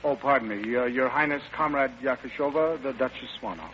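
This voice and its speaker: An actor playing a suave, playful romantic gentleman, urbane and teasing, in an old-time radio drama. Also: medium pitch, brisk, natural voice. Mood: flustered. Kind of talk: 1940s-style radio play acting. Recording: muffled, noisy old radio broadcast.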